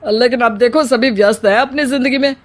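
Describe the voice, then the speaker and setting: A man's voice: very high-pitched, steady tone, fairly quick. A male actor playing a flirtatious, vain and irritable retired husband in his fifties in a comedy family story, with theatrical exclamations. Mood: irritated. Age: middle-aged.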